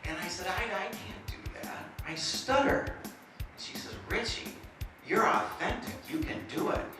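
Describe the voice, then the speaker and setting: A man's voice: high voice, moderate pace, music in the background. Joking self-mocking man in echoey hall. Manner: self-deprecating jokes, slight stutter. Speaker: motivational speaker. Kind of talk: comedic stage presentation. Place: presentation hall. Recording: echoey hall, mic from far off.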